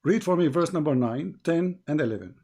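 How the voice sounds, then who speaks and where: A man with an Arabic accent, who grew up speaking Arabic, hosts a heated call-in debate. His voice sits a little high for a man, and he keeps pressing the caller for details. He often pauses to repeat "okay" before asking the next question. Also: animated, a little mellow, slightly rough. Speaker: debate host.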